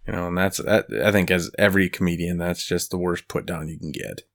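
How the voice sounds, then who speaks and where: Man, podcast co-host, very deep voice, gravelly fry, soothing, laid-back dry teasing. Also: steady tone, brisk, fairly bright tone. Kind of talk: casual chat.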